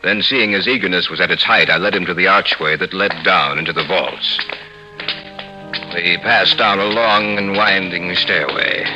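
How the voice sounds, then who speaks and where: An actor playing a vengeful nobleman who narrates his crime with deliberate, mock-solicitous menace in 1950s radio horror style. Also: sits low, dull audio. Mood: ominous. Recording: echoey old radio drama, music behind.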